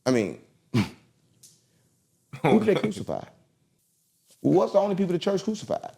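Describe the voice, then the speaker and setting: A man's voice: high voice, huge pitch swings, normal speed, gravelly, dark tone. A man giving a brash, rambling interview, swaggering and cursing as he talks. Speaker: interview guest. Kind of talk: heated interview talk.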